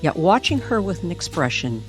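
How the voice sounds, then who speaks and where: A woman reading a tender, eerie story as a dramatic narrator, deliberate and pausing between short phrases. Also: on the low side, big pitch swings, rough recording. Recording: music under the voice.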